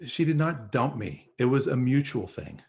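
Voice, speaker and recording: A man's voice: medium pitch, big pitch swings, moderate pace, gravelly, darkish tone. A man playing a defensive, wisecracking slacker salesman in a sitcom read over a video call, with a dry, offhand manner.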